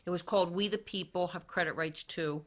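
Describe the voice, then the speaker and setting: A woman's voice: on the low side, a little fast. A woman hosting a direct-to-camera talk show, telling stories emphatically and repeating her key points. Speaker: talk show host and credit advisor.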